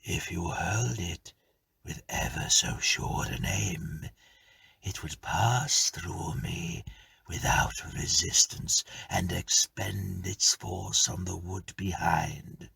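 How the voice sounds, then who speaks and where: Man voicing a slow, breathy, mournful male ghost. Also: low voice, pushed and bright, dry recording, exaggerated voice. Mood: eerie warning. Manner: slow, drawn-out, breathy half-whispered delivery. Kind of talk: dramatic ghost story reading.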